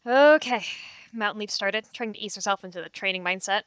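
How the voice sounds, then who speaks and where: Bright-voiced woman narrating a roleplay. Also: mid-range voice, brisk, clean tone, dry recording. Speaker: roleplay player.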